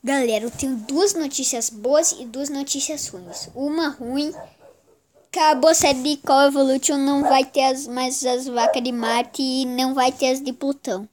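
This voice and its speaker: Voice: sits high, steady tone, moderate pace, darkish tone, slight background noise. A child hosting a casual mobile game commentary, sounding congested with a cold. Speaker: gaming channel host.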